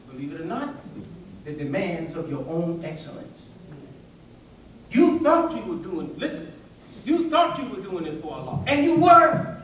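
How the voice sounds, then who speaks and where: A man preaching an animated, folksy sermon to listeners, tossing out rhetorical questions and colloquial asides. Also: sits high, a little hiss or hum. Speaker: preacher giving a public religious address. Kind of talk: animated sermon with call-and-response to a live audience. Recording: echoey hall, distant microphone.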